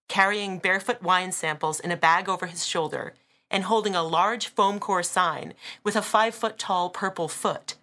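Bright, dramatic woman audiobook narrator. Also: lowish voice. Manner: deliberate, with dramatic pauses and emphasis.

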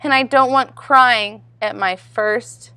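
Woman voicing an earnest little boy rabbit, high and bright. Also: takes it slowly, no echo, full character voice. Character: proud little boy rabbit, nearly five, earnest and curious.

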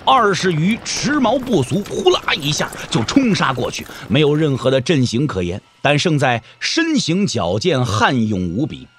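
A man's voice: on the high side, very animated, normal speed, few pauses, a bit bright. A man narrating a martial-arts audio drama as a dramatic scripted storyteller.

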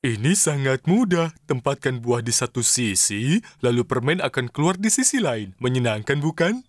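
A man voicing an eager, impatient little cartoon fellow with childlike excitement in a children's cartoon dub. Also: mid-range voice, moderate pace, no echo, studio quality. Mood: eager, confident. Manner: excitable, exclamatory.

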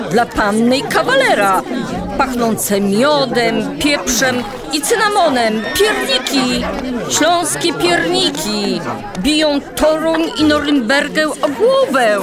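Slow, high-voiced market gingerbread seller acted by a woman. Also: lively intonation. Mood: enticing, boastful. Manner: drawn-out street-vendor calls. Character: female gingerbread seller, singsong market crier. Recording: busy market crowd with music.

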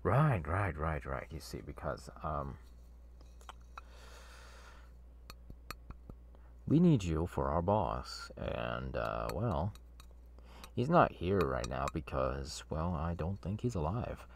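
A man playing a demon's masked henchman in an ASMR horror roleplay, chatty and halting, with mock-soothing menace. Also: extremely low pitch, sing-song, gravelly, slight background noise, heavily acted. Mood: casual, apologetic. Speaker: ASMR voice actor.

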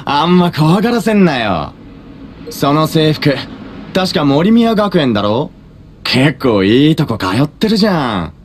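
A cocky young delinquent from an anime game, pitched high and swooping widely. His slangy speech swaggers like a street thug's. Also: very animated, slow pace, a little hiss or hum. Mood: leering, taunting. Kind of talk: anime video game voice acting.

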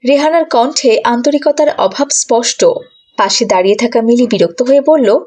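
A high-pitched woman narrates a cartoon story for children. Her delivery is scripted storytelling, addressed to young listeners. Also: normal speed, a little mellow.

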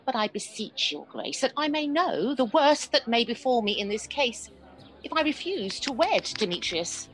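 Woman as defiant young maiden, shakespeare radio play, earnest, fairly high voice. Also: very animated, normal speed, fairly bright tone, rough recording. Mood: pleading. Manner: earnest, formal. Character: defiant young maiden pleading her love.

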